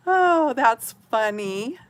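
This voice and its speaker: A middle-aged woman from Oregon, chatting casually with live viewers as a craft channel host, rambling and giggling often. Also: a little high. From Oregon, USA.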